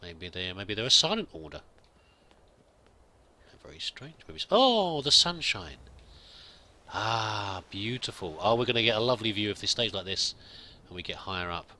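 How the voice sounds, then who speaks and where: A man's voice: on the low side, sing-song, normal speed, pushed and bright. A man hosting a roleplay let's play, chatting and musing aloud and reading in-game text as he plays.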